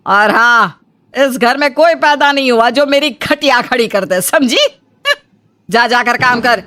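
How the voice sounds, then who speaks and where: A woman's voice: on the high side, animated, slow pace, bright, forceful tone, no room sound, voice pushed down, heavily acted. A woman voicing an indignant, domineering middle-aged mother-in-law who scolds dramatically in a comic family story. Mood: threatening.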